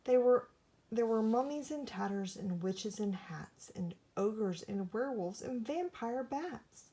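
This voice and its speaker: A woman reads a rhyming storybook aloud for young listeners. Her voice is mellow and soft-edged, and she tells the tale with theatrical, dramatic expression. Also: fairly high pitch, animated, unhurried. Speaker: storybook reader.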